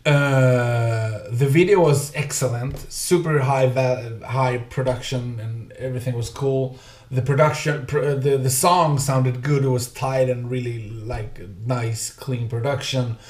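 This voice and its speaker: A man in his thirties, not a native English speaker, giving a casual music reaction with halting speech and many fillers, recorded on a desk webcam at home. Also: medium pitch, steady tone, a little mellow. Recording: echoey room.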